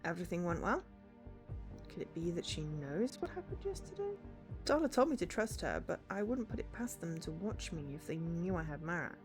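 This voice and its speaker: A woman's voice: on the low side, sing-song, brisk, a little mellow, soft background music. A woman streamer reading a visual novel aloud, narrating and acting out the dialogue. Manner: acts out dialogue between narration. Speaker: game streamer.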